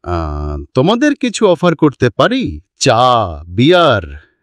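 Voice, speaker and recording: A man's voice: medium pitch, huge pitch swings, slow pace, dry recording, studio quality. A man voicing an elderly antique collector in a story, with a harsh, crabby voice and English phrases mixed into his speech. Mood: confiding. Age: older. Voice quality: harsh, grating. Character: crabby old male antique collector, impressed by learning.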